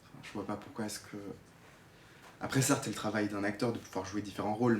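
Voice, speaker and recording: Low-voiced young man, echoey room. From Geneva, Switzerland. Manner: hesitant, searching, repeats words while explaining. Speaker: drama student giving a testimony. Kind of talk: unscripted personal testimony. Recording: echoey room with faint noise.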